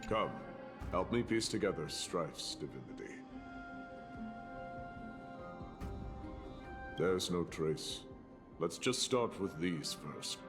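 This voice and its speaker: Deep, slow, grave warrior prince. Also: male voice, lively intonation. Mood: solemn, resolute. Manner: solemn, measured, formal diction. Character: grave warrior prince, stern and resolute comrade. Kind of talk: video game voice acting. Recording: music behind the voice.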